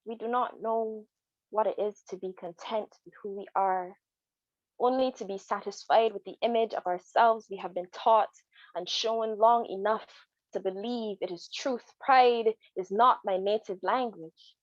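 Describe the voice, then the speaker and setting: A woman's voice: mid-range voice, fairly even intonation, unhurried, no room sound. A young woman performs spoken word poetry with a rhythmic, emphatic delivery that returns again and again to a refrain. Her voice comes over a video call, dry and slightly muffled. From Barbados. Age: young adult.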